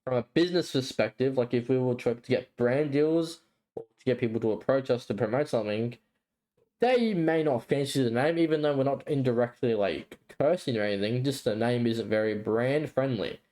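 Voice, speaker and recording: A man's voice: medium pitch, brisk, dark tone, poor audio. A man co-hosting a podcast in casual chat, explaining things in a hesitant, rambling way. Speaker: podcast co-host.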